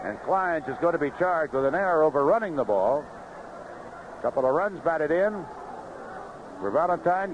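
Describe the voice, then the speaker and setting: A man calling baseball play-by-play on live radio in clipped phrases, pausing between pitches. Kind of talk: live radio sports commentary. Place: outdoor ballpark broadcast booth. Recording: noisy, muffled vintage 1970s radio broadcast.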